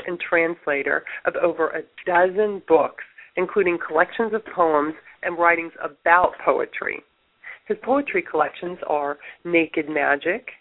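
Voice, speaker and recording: A woman host with a slight head cold, speaking in a measured, polite way as she introduces a guest and interviews him. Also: fairly low pitch. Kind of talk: reading an introduction, then interviewing. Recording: narrow phone-line audio.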